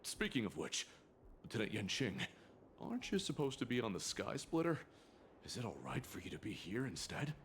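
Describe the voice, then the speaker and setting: Eager nervous soldier, low pitch, lively intonation, deferential, video game, faint ambient noise. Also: male voice, moderate pace. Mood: flustered, deferential. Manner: hesitant, deferential. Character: flustered, eager, deferential male guard.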